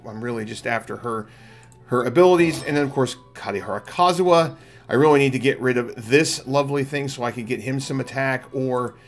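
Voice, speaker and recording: A man's voice: medium pitch, fairly bright tone. A middle-aged man giving casual, explanatory livestream commentary on a game, chatting to viewers. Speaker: game streamer, channel host. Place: indoor room at home. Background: game music.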